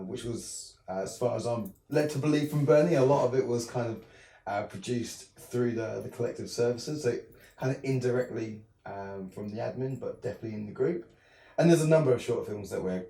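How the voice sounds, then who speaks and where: Low mellow-voiced Englishman, roomy sound. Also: vocal fry. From southern England. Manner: hesitant, many fillers and restarts. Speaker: independent filmmaker guest. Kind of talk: casual interview. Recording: roomy, distant mic.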